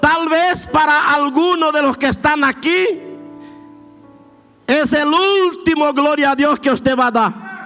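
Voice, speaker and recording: A middle-aged man preaching an emphatic, drawn-out sermon in Argentine border Spanish with a Brazilian-influenced accent. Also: extremely high pitch, pushed and bright, soft background music. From border area between Argentina and Brazil. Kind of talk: Pentecostal sermon. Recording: echoey hall with long reverb.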